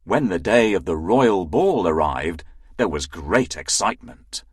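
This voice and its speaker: A man's voice: low voice, animated, unhurried, husky, no echo, studio quality. A man narrating a children's fairy tale as a scripted storyteller.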